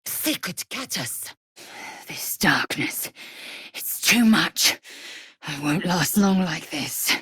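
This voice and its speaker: Fantasy game role: fierce, scornful alien warrior woman. Measured, husky, clipped and imperious. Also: on the low side, lively intonation, fairly bright tone, no echo, in a whisper, studio quality, heavily acted. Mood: fierce battle casting, then strained. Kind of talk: scripted fantasy video game acting.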